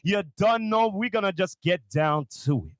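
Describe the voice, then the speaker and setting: A man hosting a casual podcast interview, talking in excitable slang and often repeating words. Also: high-pitched, normal speed. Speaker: podcast host.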